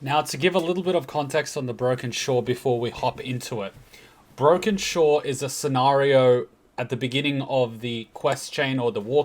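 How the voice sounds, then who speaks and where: A man hosting a game lore video, explaining things in a casual, conversational way. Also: mid-range voice. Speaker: host, game lore commentator.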